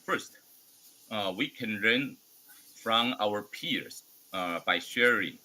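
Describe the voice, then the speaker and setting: A man with a Taiwanese accent and Mandarin as his first language, hosting an online academic forum with a formal but halting, hesitant delivery. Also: on the low side, expressive, bright, forceful tone, a little hiss or hum, low-quality recording. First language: Mandarin Chinese. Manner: halting, hesitant non-native English delivery. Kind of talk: formal welcome speech.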